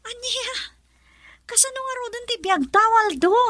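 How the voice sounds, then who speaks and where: A wife worn down by poverty who scolds her husband. Her voice sits high and sounds sharp with exasperation. It is recorded close and dry, radio-play style. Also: normal speed, no echo. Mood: exasperated. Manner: scolding, nagging. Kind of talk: radio drama acting.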